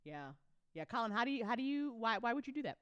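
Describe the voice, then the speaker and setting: Casting: slangy, gushing show host, lively intonation, clean dry sound, casual and excitable delivery. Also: mid-range voice, quick pace, clean tone, no room sound, muffled recording. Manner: effusive, slangy, prone to laughing. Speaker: host of a fiction podcast after-show.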